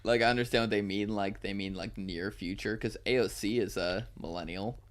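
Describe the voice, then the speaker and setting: A man in his twenties co-hosting a podcast, chatting casually and swearing freely. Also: on the low side. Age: 20s. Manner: animated, swearing, quick laughs. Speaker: podcast co-host. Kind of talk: casual chat.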